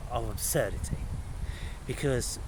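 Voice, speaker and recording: A man giving an unscripted spiritual monologue, speaking in halting, emphatic phrases with deliberate pauses. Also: mid-range voice, noisy background. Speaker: spiritual vlogger and author.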